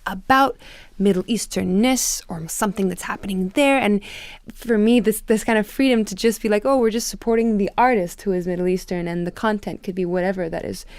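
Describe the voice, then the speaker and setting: A woman's voice: mid-range voice, steady tone, a little fast, no echo. A woman from Turkey talking reflectively as a guest in an interview. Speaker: playwright and actor, interview guest.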